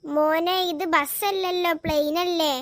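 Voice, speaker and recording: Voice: extremely high pitch, steady tone, unhurried, a bit bright, no room sound, studio quality, heavily acted. A performer voicing a weary, exasperated mother in a comedy cartoon, explaining and scolding. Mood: patient. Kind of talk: Malayalam comedy cartoon dialogue.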